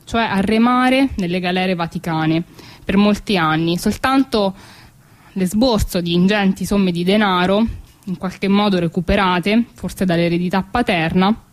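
Woman narrating history in measured phrases. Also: medium pitch. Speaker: storyteller and narrator. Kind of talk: oral historical storytelling.

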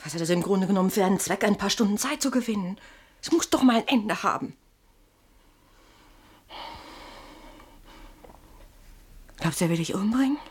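An actress playing a pragmatic wife in a German radio play, reasoning with dry scepticism. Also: female voice, medium pitch, animated, quick pace, a little mellow, husky. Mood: resigned, sceptical.

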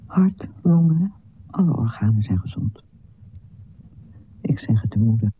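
Earnest, husky woman doctor. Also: extremely low pitch, animated, mellow, exaggerated voice, low-quality recording. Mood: composed, clinical. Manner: deliberate, formal, earnest. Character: earnest, determined woman doctor, a pioneering 19th-century feminist. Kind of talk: radio play acting.

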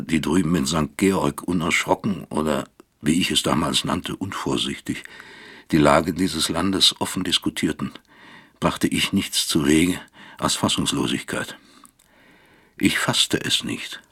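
A middle-aged father weighed down by guilt in a radio drama monologue. His voice is extremely deep, with heavy fry and a husky rasp. He broods bitterly, questioning himself in halting phrases. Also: a bit bright, exaggerated voice. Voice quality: gravelly, husky.

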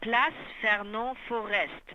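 An actress playing a brisk, efficient detective's secretary on the telephone in a German radio crime drama, businesslike and clipped. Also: female voice, fairly high pitch, fairly even intonation, muffled recording.

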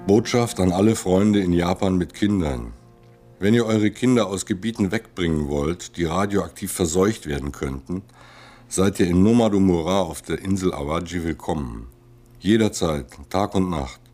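A man reads a scripted appeal in a formal, measured manner. His voice is low and mellow, with faint music behind.

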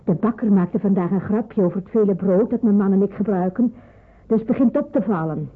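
A woman acting as a cautious housewife sheltering fugitives in a radio drama. She is measured and matter-of-fact, with a husky tone, on a thin, phone-like recording. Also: mid-range voice, close to an everyday voice. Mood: grave, matter-of-fact.

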